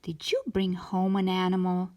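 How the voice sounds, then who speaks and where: A woman's voice: on the low side, completely flat delivery, normal speed, a little mellow, natural voice. A woman voicing a firm, exasperated but caring mother in a children's storybook. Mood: alarmed, scolding. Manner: firm, emphatic.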